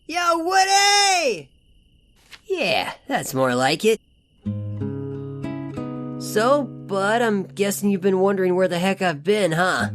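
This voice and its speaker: A woman's voice: mid-range voice, very animated, slow pace, pauses often. Woman voicing a slangy teenage turtle boy over music. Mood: upbeat, chatty. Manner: slangy surfer-dude talk. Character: teenage male mutant turtle, friendly, slangy, worried little brother. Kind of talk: animated comic dub, narrating a letter.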